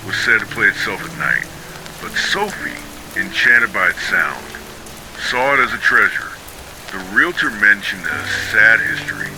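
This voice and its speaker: A man reading scripted horror stories for sleep in a measured, solemn storytelling manner, breaking sentences into short dramatic phrases. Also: on the low side, poor audio. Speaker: narrator of ghost stories.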